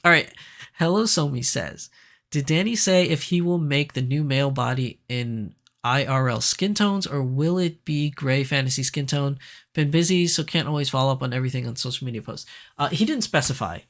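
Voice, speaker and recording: A man hosting a casual livestream, chatting and reading viewer comments, his voice raspier than usual because he is sick. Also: mid-range voice, moderate pace. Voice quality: raspy. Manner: chatty, animated, laughs easily, frequent fillers. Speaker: livestream host.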